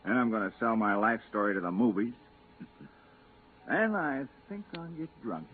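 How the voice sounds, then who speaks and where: Animated, wisecracking male spaceman. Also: lowish voice, normal speed. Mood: joking. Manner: breezy, sardonic. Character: wisecracking, cynical, grumbling male moon geologist. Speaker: radio drama actor. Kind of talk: 1950s radio drama acting. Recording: tinny, hissy 1950s radio recording.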